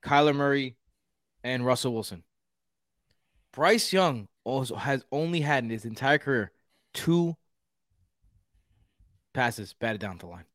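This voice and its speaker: A man's voice: medium pitch, lively intonation, a little slow, pauses often, a bit bright, dry recording, clean studio sound. A man from Houston co-hosting a casual sports podcast. He talks in a rambling way and repeats words while he thinks. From Houston, Texas. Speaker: podcast co-host.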